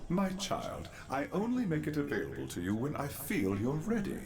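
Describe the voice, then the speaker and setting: A man's voice: fairly high pitch, wildly swooping pitch, normal speed, background noise. Eccentric, formal fatherly old scientist, lilting voice. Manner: formal, verbose, precise diction. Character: proud eccentric fatherly male scientist, older. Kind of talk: video game character acting. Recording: loud music behind the voice.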